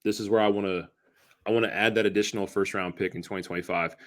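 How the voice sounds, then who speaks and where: A man's voice: fairly low pitch, fairly even intonation, fast talker. A man co-hosting a casual sports podcast, making his points emphatically and repeating them for stress. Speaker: podcast co-host.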